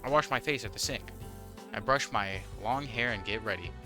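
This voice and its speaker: A man's voice: lowish voice, moderate pace, over music. A man reading visual novel text aloud with casual, wry commentary, sometimes stumbling over the words. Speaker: gaming YouTuber, host.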